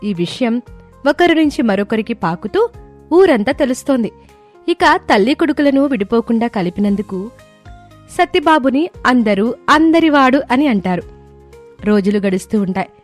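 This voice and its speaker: A woman's voice: a little high, animated, moderate pace, soft background music. A woman storyteller reading scripted narration for a moral story.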